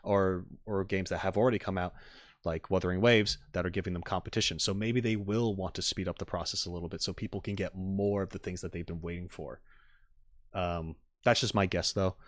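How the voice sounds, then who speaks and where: Low-voiced man rambling casually on stream. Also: steady tone, a little fast, dry recording, clean studio sound. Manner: rambling, tentative, thinking aloud. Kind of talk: casual live-stream chat, reacting and giving opinions.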